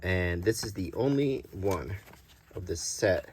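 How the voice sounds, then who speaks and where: A man presenting collectible cards in a casual, unscripted show-and-tell, halting and pausing often as he describes things. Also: low-pitched, animated, darkish tone, a little hiss or hum. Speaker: host presenting vintage collectible game cards.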